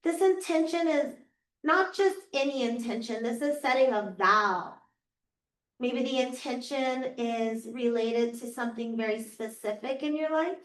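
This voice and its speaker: A woman yoga teacher guiding a class through slow, soothing cues, her voice a little raspy from losing it. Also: a little high. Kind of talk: guided yoga class instruction. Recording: echoey room, voice from far off.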